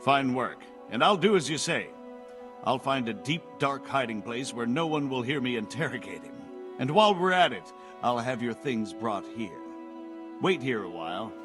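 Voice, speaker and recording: A grim medieval official played by a man speaks dutifully in a middle-pitched voice. The game cutscene dialogue has music clearly playing behind it. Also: animated, normal speed, natural voice. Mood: approving, grim. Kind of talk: video game cutscene acting.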